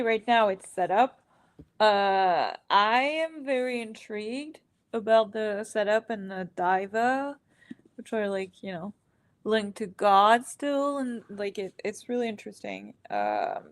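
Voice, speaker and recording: A woman co-hosting a reading stream in casual chat, talking in a halting, rambling way and sniffling a bit from allergies. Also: medium pitch. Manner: halting and rambling, many fillers and restarts, trailing off. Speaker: co-host of a reading sprint stream.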